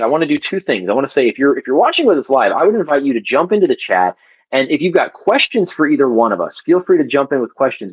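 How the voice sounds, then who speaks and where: Husky-voiced male co-host, lo-fi sound. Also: medium pitch. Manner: repeats words when starting thoughts, reflective. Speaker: podcast co-host. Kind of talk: conversational interview.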